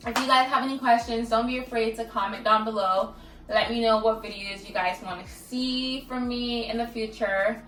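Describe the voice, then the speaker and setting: A woman's voice: a little high, fairly even intonation, normal speed, fairly bright tone. A woman with a Jamaican accent chatting casually as a cooking vlogger, filling her talk with "like" and "guys". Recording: echoey room, distant mic, music underneath.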